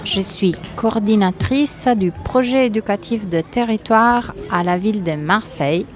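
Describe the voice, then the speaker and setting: A woman from Italy speaking with an Italian accent, answering interview questions in a hesitant, thoughtful way with many pauses and fillers. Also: mid-range voice. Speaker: guest.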